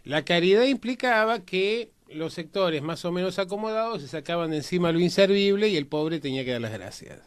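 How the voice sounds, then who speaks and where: Higher-voiced Argentine man explaining emphatically. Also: lively intonation, brisk, smooth. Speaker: panelist on a history and politics programme. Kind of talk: historical discussion, explanatory.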